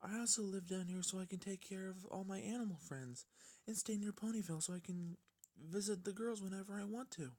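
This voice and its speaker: A gentle young female pony as a male reader puts her on speaks in a raised, soft voice. She is timid and hesitant, a shy storybook character who chooses her words carefully. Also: high voice, brisk, voice pushed up. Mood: gentle, sweet. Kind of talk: fan fiction character voice.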